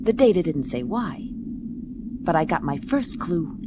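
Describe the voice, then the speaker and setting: Deadpan, low-pitched space detective. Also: female voice, slow pace, heavy background noise. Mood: wry, narrating. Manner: terse, deadpan, hard-boiled. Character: tough, wisecracking female private eye in space. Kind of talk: noir detective radio play, dialogue and narration. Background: clear music bed.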